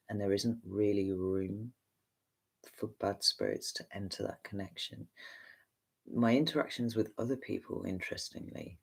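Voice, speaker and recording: A man's voice: lowish voice, vocal fry, husky. An English man with a neutral accent, a tarot reader chatting candidly and rambling through viewer questions on a livestream. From England. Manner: rambling, candid, casual swearing. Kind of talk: livestream Q&A chat.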